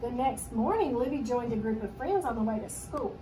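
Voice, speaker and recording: A woman teacher reading a children's story aloud with expressive narration. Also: on the high side, a little fast. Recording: noisy, distant microphone.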